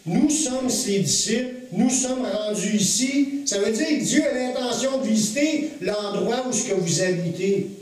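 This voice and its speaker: A Québécois man preaching to his congregation, his voice sitting notably high for a man. He leans on key phrases, slowing down to stress them. He is recorded from a distance in a heavily echoing church hall. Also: expressive, a little mellow. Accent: Québécois French. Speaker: pastor. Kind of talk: sermon.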